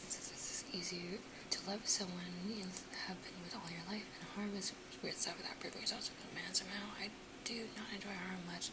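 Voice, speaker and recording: A woman's voice: medium pitch, steady tone, a little fast, very noisy. A young woman with an American accent whispering casually to her live stream viewers, a little sniffly, close to the mic. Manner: soft whisper throughout, chatty, laughs often. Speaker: ASMR streamer chatting with viewers.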